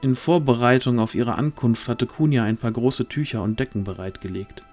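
Guy narrating a fantasy audio story, lowish voice, measured, soft music behind.

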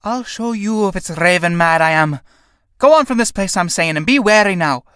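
A woman reading a play aloud and voicing a quick-tempered young tinker woman who speaks loudly and commandingly. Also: high voice, dry recording, studio quality, pitched above the performer's own voice, lightly acted. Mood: threatening. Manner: forceful, angry, commanding.

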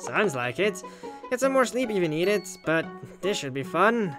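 A woman's voice: fairly low pitch, big pitch swings, moderate pace. A woman voicing a nervous, awkward young man who jokes hesitantly in a visual novel. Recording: loud music behind the voice.

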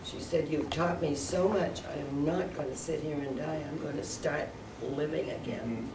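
A woman in her eighties, a cast member, telling a story in a warm way. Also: low-pitched, moderate pace, far from the mic. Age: 80s. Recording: echoey room, from afar, noisy.

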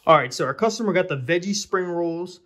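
A man's voice: high voice, lively intonation, fairly quick, bright, forceful tone. A man presenting an informal tutorial, explaining a product step by step and talking directly to viewers. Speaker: presenter of a restaurant equipment tutorial.

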